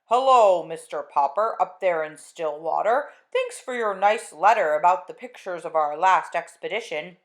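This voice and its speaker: A woman reading aloud and voicing an admiral calling over a faint radio broadcast, speaking slowly and loudly like an announcement. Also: on the low side, animated, lightly acted. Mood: booming.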